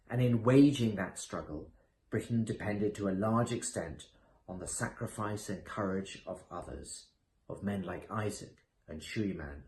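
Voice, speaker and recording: A man's voice: fairly low pitch, darkish tone. A man reading aloud as an author, in the scripted style of literary narration. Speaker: author reading from own book. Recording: echoey room with faint noise.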